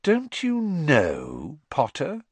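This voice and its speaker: A sly teenage schoolboy taunts in a low, quiet sneer, as a man voices him. The voice is soft and needling, recorded dry and close to the mic. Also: lively intonation, measured pace, no room sound. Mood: sly taunting.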